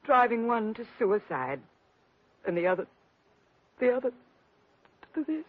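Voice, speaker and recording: Distraught devoted lover, husky, plaintive, overwrought, dry muffled old radio drama. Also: female voice, a little high, big pitch swings, unhurried, no room sound. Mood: dramatic, anxious. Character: melodramatic, devoted, distraught woman in love. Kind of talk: 1940s radio melodrama acting. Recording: dull vintage radio recording.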